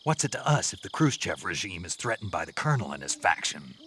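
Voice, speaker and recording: An actor playing a terse soldier-spy reporting in over the radio in a game cutscene. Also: male voice, low-pitched, sing-song, fast, a bit bright, a little hiss or hum. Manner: terse, dry replies. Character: terse, gruff male soldier-spy in the field. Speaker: field agent. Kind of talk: video game cutscene acting.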